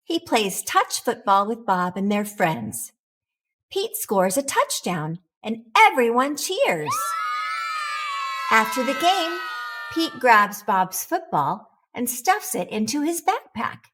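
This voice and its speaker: Woman, children's story reader, animated intonation, slow deliberate delivery, expressive. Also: a little high, husky, raised voice. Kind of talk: animated picture-book read-aloud.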